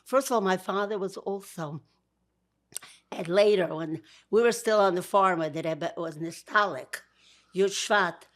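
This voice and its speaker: An older woman from Georgia with a Brooklyn accent, recalling her family's past in an interview. She speaks warmly, often laughs, and restarts her sentences. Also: lowish voice, unhurried. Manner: warm, with frequent laughs and restarts. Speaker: guest. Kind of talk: interview, memories.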